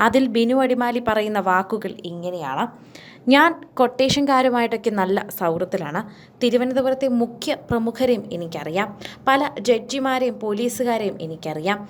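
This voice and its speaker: A woman narrates entertainment news from a script in a fairly high voice. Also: expressive, normal speed, a little hiss or hum.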